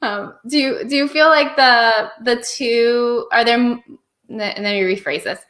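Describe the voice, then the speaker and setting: A woman's voice: on the high side, a little slow, a bit bright. A woman hosting a podcast interview, chatty, laughing easily and rephrasing her questions as she goes. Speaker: podcast host.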